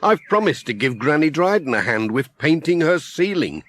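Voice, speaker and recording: A man putting on a cheerful village postman with a hearty, jolly way of calling out. His voice bounces up and down lively, lifted a little above his usual tone. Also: a little high, moderate pace, pitched above the performer's own voice.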